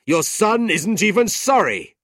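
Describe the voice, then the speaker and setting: A man's voice: sits high, very animated, measured pace, fairly bright tone, no echo, studio quality, pitched above the performer's own voice, heavily acted. A man voicing a cold, scheming older uncle who speaks sternly and scornfully, in a storybook reading.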